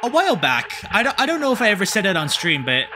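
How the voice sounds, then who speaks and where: A man giving casual let's-play commentary as a streamer, thinking aloud in an animated, sweary way. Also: high voice, fast, noisy background. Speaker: streamer reading a visual novel aloud. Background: game music.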